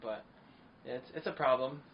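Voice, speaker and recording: Casting: talkative guy of medium pitch hosting a podcast, eager, lots of ums, dull lo-fi sound. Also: a little slow. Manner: talkative, enthusiastic, rambling with fillers. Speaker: podcast host. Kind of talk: casual interview chat. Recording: dull lo-fi sound, faint noise.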